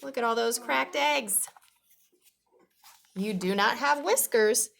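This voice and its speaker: A woman reading a children's storybook, voicing a squeaky, bossy, fussy little mouse helper. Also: takes it slowly, creaky, fairly bright tone, full character voice. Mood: critical.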